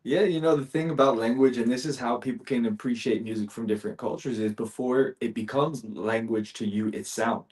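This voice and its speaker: A man co-hosting an interview, calmly explaining his ideas in casual chat. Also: mid-range voice, fairly quick, hardly any pauses. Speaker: co-host.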